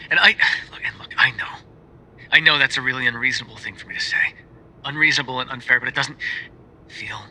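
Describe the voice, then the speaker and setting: Man voicing a lovesick mafioso, medium pitch, crisp forward tone, wry swearing, whispery dips, ASMR roleplay, slight background noise. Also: sharp tone, poor audio. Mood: hurt, pleading. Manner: conversational, sardonic, swearing, dropping into whispers. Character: lovesick, jealous, insecure mafioso boyfriend. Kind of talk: ASMR roleplay, intimate voicemail monologue.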